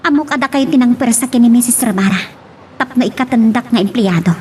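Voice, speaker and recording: A woman's voice: on the high side, fairly quick, dark tone, slight background noise, lightly acted. A woman playing a wronged, pleading young woman with an emotional, defiant manner in an audio romance drama. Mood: hurt, defiant. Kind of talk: Ilocano radio-style romance drama acting.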